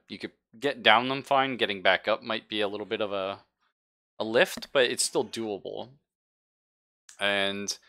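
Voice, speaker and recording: Bright-voiced man running a tabletop game. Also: fairly low pitch. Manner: informal, halting, many likes and pauses. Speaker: game master. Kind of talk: casual narration of a live tabletop game.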